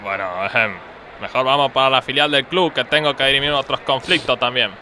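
Breezy Spanish monarch played by a man, colloquial, medium pitch, radio comedy with traffic noise. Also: brisk, sharp tone. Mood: matter-of-fact. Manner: colloquial Castilian slang. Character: jovial visiting Spanish king, colloquial and breezy.

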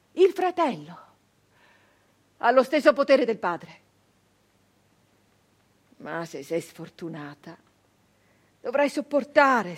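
An embittered, defiant brigand woman from the old Italian south, in a notably high voice. She delivers a theatrical monologue with insistent repetition and weighty pauses, able to move between hushed and forceful delivery. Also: full character voice.

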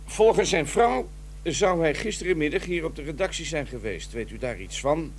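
An actor playing a calm homicide detective who questions a witness, in a radio drama. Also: male voice, on the high side, moderate pace, a little hiss or hum, lightly acted. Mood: probing. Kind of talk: 1990s radio drama acting.

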